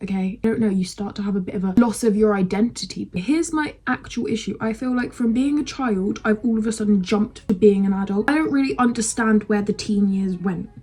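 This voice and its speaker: Young British woman, 19, warm husky voice, candid, rambling, swears casually. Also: medium pitch, a little fast, few pauses. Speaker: vlogger. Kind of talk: casual to-camera chat.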